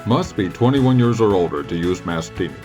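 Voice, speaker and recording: Deadpan low disclaimer announcer. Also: male voice, brisk, faint music behind.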